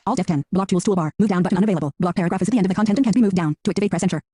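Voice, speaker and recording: A man's voice: sits high, rapid-fire, slightly rough, no echo. A synthetic male screen reader voice reading out interface labels in a clipped, robotic way. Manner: clipped, flat synthetic delivery.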